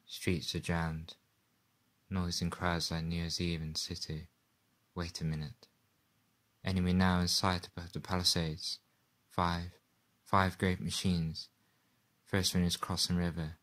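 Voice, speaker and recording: A man playing a doomed radio reporter in a radio play, giving a grave, terse eyewitness account in halting phrases. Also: low voice, fairly bright tone. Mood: grim resolve.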